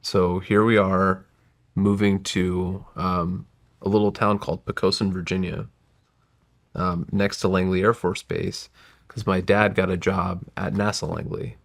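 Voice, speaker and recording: A man's voice: deep voice, level tone, measured pace, creaky. A man in his forties whose first language was Gujarati, telling his life story as a podcast guest in a reflective, winding way that often corrects itself. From United States. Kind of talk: casual interview storytelling.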